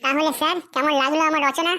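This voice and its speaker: A cheeky cartoon schoolboy, voiced by a woman,. He recites his memorized essay in a sing-song chant, sure of himself. The voice sits high and sounds bright and sharp. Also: steady tone, fast. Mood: cocky. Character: cocky schoolboy who turns every essay into a fox essay. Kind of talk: cartoon voice acting.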